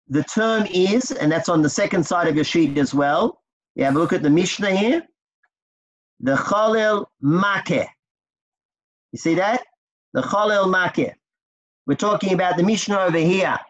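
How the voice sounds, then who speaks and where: A man teaching a text study class, explaining step by step and quizzing his students. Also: high-pitched. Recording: dull lo-fi recording.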